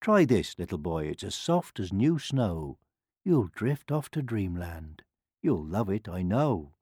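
A man voicing a kindly, reassuring storybook peasant with a soft, coaxing manner. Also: sits low, big pitch swings, a little slow, husky, no echo, studio quality, full character voice.

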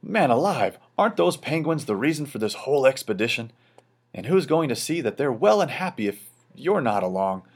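A man's voice: very animated, normal speed, husky. A man reading a storybook, voicing a bluff navy admiral who roars out commands. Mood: hearty commanding. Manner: loud, booming, commanding.

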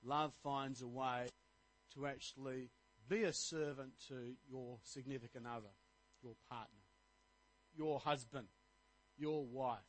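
A man's voice: mid-range voice, steady tone, unhurried, long pauses, poor audio. A middle-aged man, preaching a sermon as pastor, rising to emphatic points. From Australia.

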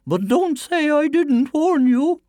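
A man puts on a proud village mother from a folktale. The voice sits very high, lilting and self-assured, with swooping, stretched-out phrases. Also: expressive, takes it slowly, warm tone, no echo, exaggerated voice. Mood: knowing. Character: proud, helpful mother of a strong boy, giant's wife.

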